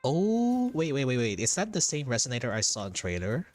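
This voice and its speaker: A man's voice: medium pitch, huge pitch swings, brisk. A man doing casual, chatty live gameplay commentary, often repeating himself. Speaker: game streamer. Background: soft game music.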